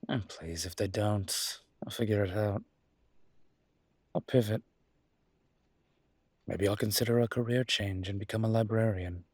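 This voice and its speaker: Man playing a low, husky, charming young mafia boss. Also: measured pace, long pauses, no room sound. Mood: wry, fond. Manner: playful, smooth-talking, teasing. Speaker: voice actor in a roleplay audio. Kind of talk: intimate audio roleplay acting.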